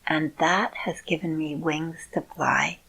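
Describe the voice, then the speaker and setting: A middle-aged woman talking to her viewers in a slow, soothing and encouraging way. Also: sits low, steady tone, gravelly, fairly bright tone, breathy edge, rough recording. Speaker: video host. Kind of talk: heartfelt personal talk to viewers.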